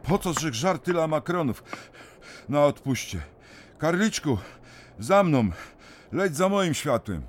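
An actor playing a rough-spoken, pious miner with a strong Silesian accent. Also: male voice, a little high, expressive, slow, long pauses, slight background noise. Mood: urgent. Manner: rough-spoken, dialect-heavy. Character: gruff pious Silesian miner, adult man.

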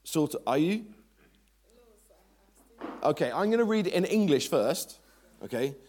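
A man's voice: on the high side, big pitch swings, a little slow, a little mellow. A man preaching at a church service, chatty and joking with the congregation. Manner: chatty, self-deprecating, jokes with the congregation. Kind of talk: informal church sermon introduction.

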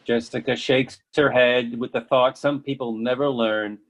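A man's voice: medium pitch, steady tone, a little slow. A man reading stage directions as scripted narration for a play.

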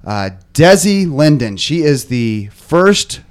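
A man hosting a casual podcast, a bit hoarse, rambling with lots of fillers and restarts. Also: on the high side, big pitch swings, takes it slowly. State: slightly hoarse. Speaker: podcast host. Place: podcast studio.

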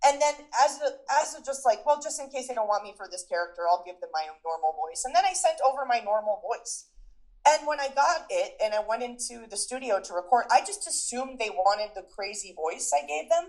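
A woman's voice: fairly high pitch, animated, a little fast, a little mellow, rough recording. A young adult woman being interviewed, chatting casually with many "like"s and quoted asides. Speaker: interview guest.

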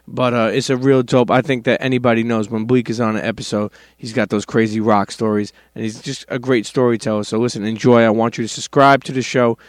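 A man's voice: medium pitch, level tone, fairly quick, hardly any pauses, dry recording, studio quality. Brooklyn-accented man hosting a podcast. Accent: New York (Brooklyn). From Brooklyn, New York. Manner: loose, street slang, swears, repeats phrases. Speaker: podcast host. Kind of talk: casual podcast chat and ad reads.

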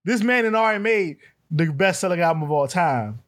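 A man co-hosting a casual music podcast, animated and laughing often as he acts out quotes. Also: high voice, brisk. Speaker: podcast co-host. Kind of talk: casual chat.

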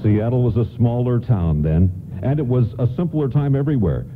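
A man's voice: mid-range voice, animated, normal speed, slight background noise. A man announcing and narrating a scripted radio program. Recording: tinny narrow-band radio broadcast. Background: prominent music.

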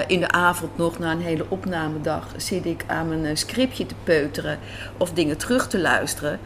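A woman giving conversational, reflective interview answers as a writer and director, in a café. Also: low voice. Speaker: guest, radio drama writer and director. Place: indoors in a café. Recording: clear background noise of a café.

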